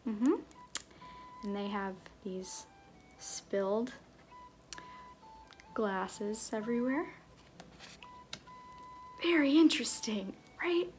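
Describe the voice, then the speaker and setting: A woman playing a flirty, glib circus fool in an ASMR roleplay, half-whispering with halting, offhand, teasing asides. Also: a little high, a little mellow. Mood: mock-ominous. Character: flirty, glib circus fool and sham fortune-teller, playfully evasive.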